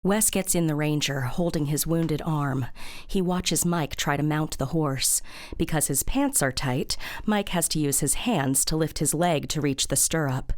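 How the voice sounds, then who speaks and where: Low-pitched woman narrator. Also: measured pace. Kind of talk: scripted audio drama narration.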